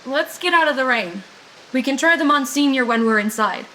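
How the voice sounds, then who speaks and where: Young female actor as a sharp, take-charge supernatural investigator; high, bright voice, deadpan teasing, comedy audio drama. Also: normal speed, a little hiss or hum, low-quality recording. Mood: firm, teasing. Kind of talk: audio drama acting, naturalistic comedic banter.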